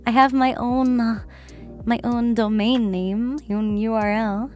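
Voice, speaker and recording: A woman's voice: fairly even intonation, a little mellow, soft background music. Giggly streamer woman with a worn voice. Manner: giggly, laughs often, deliberately lowered voice; chatty, rambling with fillers. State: voice worn from a bad cough. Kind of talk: casual livestream chat.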